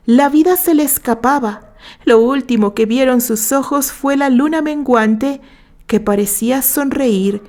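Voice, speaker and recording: A woman storyteller with a fairly high voice and a Mexican accent. She narrates scripted fiction in an expressive, theatrical way.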